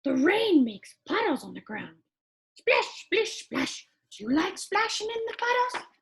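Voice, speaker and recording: A woman's voice: very high voice, very animated, measured pace, vocal fry, pitched above the performer's own voice, exaggerated voice. A woman voicing an eager childlike puppet friend who reads a picture book to children in an exaggerated, wondering manner. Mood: animated, wondering. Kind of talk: animated children's storybook read-aloud.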